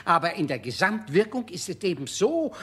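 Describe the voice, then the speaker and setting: A man's voice: high-pitched, sing-song, a little fast, fairly bright tone, slightly rough, heavily acted. An actor playing a pompous, nitpicking male customer in a children's radio play, speaking in a stilted, over-formal way. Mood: pompous, indignant.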